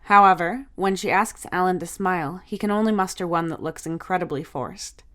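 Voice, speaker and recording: A woman with a fairly low voice narrates an audiobook. She reads the story from a script, in descriptive storytelling prose. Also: fairly quick, fairly bright tone.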